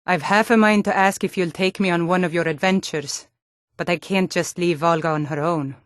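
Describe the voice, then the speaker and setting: A woman's voice: lowish voice, fairly even intonation, moderate pace, no echo, studio quality, lightly acted. An actress playing a tough, blunt Nordic warrior woman in a fantasy video game, speaking firmly with a Nordic accent. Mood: friendly. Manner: firm, blunt.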